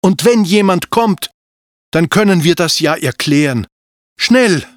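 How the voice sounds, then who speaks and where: A storyteller voicing a young boy dragon in a children's audiobook, high and anxious. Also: lively intonation, a little slow, no echo, studio quality, lightly acted. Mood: urgent.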